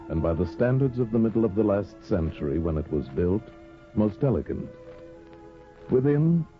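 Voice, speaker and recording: Deep husky guy narrating old radio drama, slow and formal, dull sound with soft music.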